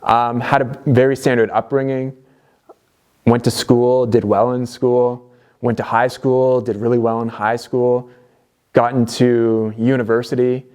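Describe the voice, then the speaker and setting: A man's voice: mid-range voice, little pitch movement, a little slow, darkish tone. Earnest Canadian man, chatty vlogger. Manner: earnest, conversational, many fillers and restarts. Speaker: DIY vlogger. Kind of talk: motivational talk to camera.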